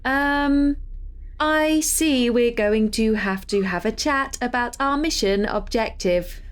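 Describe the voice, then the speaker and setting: Breezy AI hacker, slightly high voice, teasing, chatty, sci-fi audio drama. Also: female voice, slow pace, clear, resonant tone. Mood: matter-of-fact. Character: cheeky, chatty female artificial intelligence hacker sharing a body.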